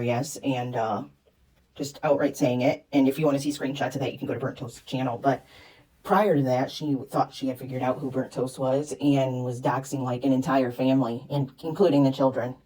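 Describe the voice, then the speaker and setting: A woman with an extremely low, dark voice delivers reaction commentary for her channel. Her manner is critical and disapproving. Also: poor audio.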